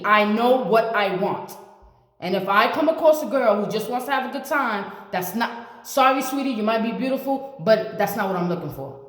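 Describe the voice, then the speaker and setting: A woman in her late twenties giving blunt, repetitive advice to the camera as a vlogger. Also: fairly high pitch. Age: 20s. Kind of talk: motivational talk to camera. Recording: echoey room with long reverb.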